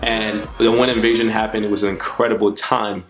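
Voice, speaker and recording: Deep-voiced man, earnest vlogger. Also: level tone, brisk, over music, rough recording. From Democratic Republic of Congo. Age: 20s. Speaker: YouTube host. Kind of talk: motivational vlog to camera. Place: small furnished room at home.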